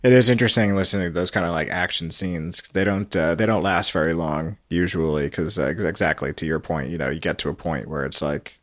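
A man giving a casual, halting, meandering storytelling recap on a podcast. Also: sits low, no echo, studio quality. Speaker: podcast guest recapping a radio show.

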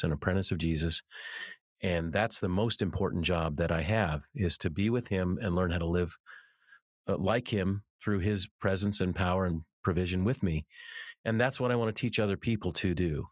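A middle-aged American man with a fairly low voice, recorded dry without room sound. He talks as a guest in conversation, earnestly telling stories and leaning on repeated words to make a point. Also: moderate pace, no room sound. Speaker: interview guest, author and ministry teacher. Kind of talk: conversational interview with anecdotes.

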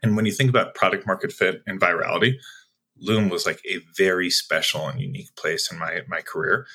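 A man's voice: deep voice, brisk, pushed and bright, husky, low-quality recording. A man answering interview questions as a sales executive guest, talking conversationally in a reflective, explanatory way.

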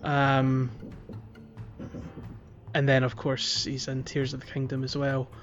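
A man's voice: medium pitch, monotone, takes it slowly, faint music behind. A man co-hosting a podcast in casual game discussion, often correcting his phrasing as he goes. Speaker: podcast co-host. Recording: muffled lo-fi audio.

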